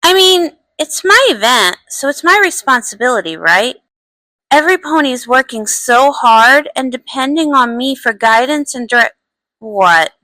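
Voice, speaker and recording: High voiced bubbly pony princess girl acting it, earnest, unhurried, cartoon dub, faint music. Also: lively intonation, bright, forceful tone, no room sound, exaggerated voice, poor audio.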